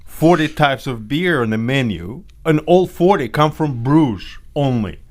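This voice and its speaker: A man's voice: medium pitch, animated, a little slow, darkish tone. A man from Ukraine, a podcast co-host telling rambling stories in casual chat and laughing often.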